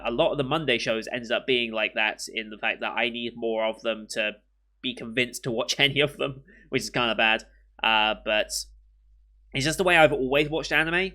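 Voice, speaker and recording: A young British man hosting an anime reaction channel, chatting casually and rambling with many pauses and restarts, a bit sniffly from a cold. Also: mid-range voice, bright, forceful tone. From Britain. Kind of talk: casual chat to camera.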